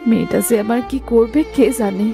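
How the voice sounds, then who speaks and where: Casting: worried, ailing village mother for cartoon melodrama; plaintive, slightly high voice, fretful delivery. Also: a little fast, vocal fry, music in the background.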